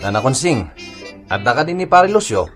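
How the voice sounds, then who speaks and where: Radio drama actor as a carefree, defiant drunken husband; brash, wildly swinging pitch, music underneath. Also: male voice, big pitch swings, brisk, close to an everyday voice. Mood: carefree, tipsy. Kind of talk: Ilocano radio comedy drama acting.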